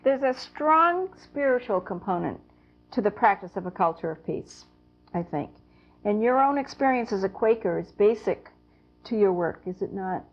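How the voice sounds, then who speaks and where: A woman interviewer puts gentle questions to her guest and backs her up with soft murmurs of agreement. Her voice sits at a middle pitch, and the recording sounds dull and lo-fi. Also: expressive, normal speed, faint music behind. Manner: soft, encouraging, many mm-hmms.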